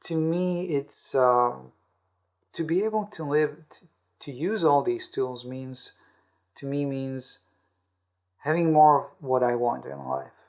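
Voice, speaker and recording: A man with a medium-pitched voice and a noticeable creak explains personal-growth ideas in an interview. He sounds earnest and often repeats or rewords his phrases as he builds a point. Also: slow pace, a little mellow. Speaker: podcast host and author being interviewed. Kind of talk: explanatory interview talk on self-help.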